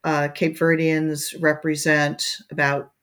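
Older woman, interview guest, low voice, thoughtful and halting with lots of ums. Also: completely flat delivery, a bit bright. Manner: reflective, many fillers and restarts. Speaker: guest, education researcher. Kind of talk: conversational interview.

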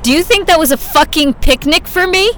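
A woman's voice: very high-pitched, lively intonation, fairly quick, bright, forceful tone, slight background noise, heavily acted. A woman playing a hurt, defensive young woman who speaks in a defiant way.

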